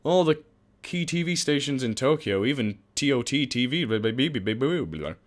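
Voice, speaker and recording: Animated, joking young man reading a game. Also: medium pitch, moderate pace. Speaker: let's play host. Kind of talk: casual let's play narration.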